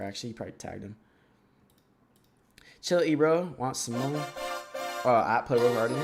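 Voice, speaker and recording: A man hosting a livestream, chatting casually and reacting out loud while reading viewer comments and talking back to them. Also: medium pitch. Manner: loose and excitable, reads chat comments aloud and talks back. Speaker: livestream host. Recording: loud rap music playing under the voice.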